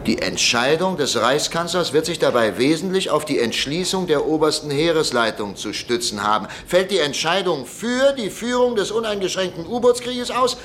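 An actor playing an agitated middle-aged professor and politician in a radio drama, in a declamatory manner. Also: male voice, a little high, animated, moderate pace, few pauses, a bit bright, slight background noise. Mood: declaiming, tense.

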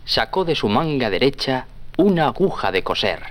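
A man's voice: medium pitch, big pitch swings, normal speed. A man narrating a scripted children's story as the storyteller.

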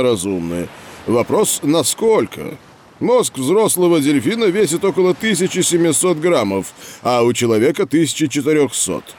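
A man playing a patient scientist who explains experiments to children in a measured, didactic way in an educational audio drama. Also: medium pitch, darkish tone, a little hiss or hum, natural voice.

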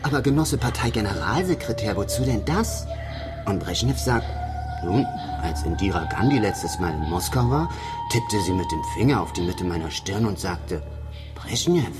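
A man's voice: fairly low pitch, animated, moderate pace, over music, lightly acted. An actor playing a tough, crude underworld henchman in a radio drama, menacing, mocking and theatrical. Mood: telling a joke.

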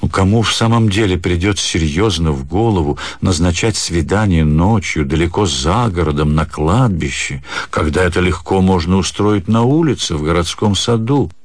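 Deep-voiced man narrating a literary story. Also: expressive, moderate pace, few pauses, no room sound. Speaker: narrator, actor reading a classic short story. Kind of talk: scripted literary narration for a radio audio play.

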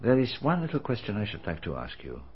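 A man's voice: fairly low pitch, huge pitch swings, fast talker, slightly rough, heavily acted. An actor playing a polite, probing detective who speaks formally and courteously and calls the woman mademoiselle, in a radio drama. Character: polite, probing man detective of foreign manner. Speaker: detective questioning a witness. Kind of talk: BBC radio drama acting. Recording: dull, muffled archive radio broadcast.

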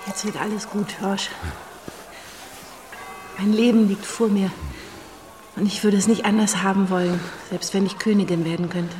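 An actress playing a devoted, hopeful bride of twenty-seven in a radio drama. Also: female voice, medium pitch, steady tone, moderate pace, long pauses, darkish tone, natural voice. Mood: happy, hopeful. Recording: echoing church hall with music.

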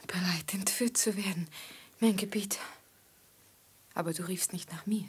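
An actress playing a devoted, quietly proud woman in love in a radio drama, speaking in a hushed, intimate, whispery voice. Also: mid-range voice. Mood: eager, intimate. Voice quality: breathy, whispery. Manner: slow, hushed, intimate delivery.

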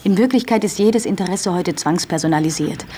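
A self-assured female critic, acted by a woman with a fairly low voice. She talks in a brisk, teasing, combative way, in a radio play scene with faint ambient noise behind her. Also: warm tone, natural voice. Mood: scornful. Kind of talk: German radio drama acting.

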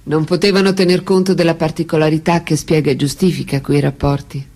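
A woman in a radio drama playing a mature, dignified French writer who looks back on love in a measured, reflective way. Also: low voice, steady tone, a little mellow. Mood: composed. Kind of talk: theatrical radio drama monologue.

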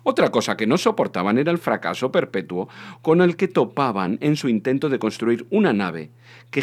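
A man with an accent from Spain, a co-host reading comic fiction aloud in a theatrical, playful way. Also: medium pitch. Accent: Spanish from Spain. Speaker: show co-host and reader. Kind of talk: expressive reading of a comic science fiction novel.

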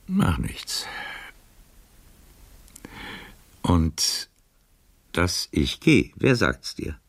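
An older man playing a weary, worldly old nobleman in a theatrical radio play, husky and musing, teasing yet tender. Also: low-pitched, wildly swooping pitch, heavily acted. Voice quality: gravelly, husky. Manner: drawn-out, musing, sometimes whispered.

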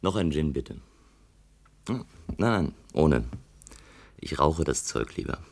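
Brooding jobless electrician in a radio drama, really low husky voice, sardonic. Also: male voice, very animated, slow, exaggerated voice. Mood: laconic. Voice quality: deep, husky. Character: brooding, disillusioned unemployed electrician drinking at a bar. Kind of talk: German science-fiction radio play acting.

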